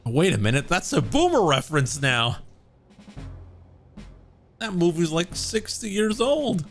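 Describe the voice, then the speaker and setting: A young man streaming a game, chatting casually and joking with viewers, his throat a little hoarse. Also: a little high, very animated, unhurried. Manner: joking, self-mocking, musing aloud. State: slightly hoarse. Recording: faint game music behind.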